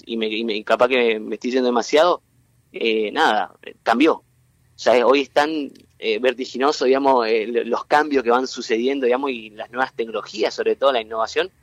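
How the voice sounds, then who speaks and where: Halting argentine man from entre ríos. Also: mid-range voice, fairly even intonation, dry recording. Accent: Entre Ríos Argentine Spanish. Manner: halting, many fillers and restarts. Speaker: guest, tech cluster president. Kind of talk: interview, explaining at length.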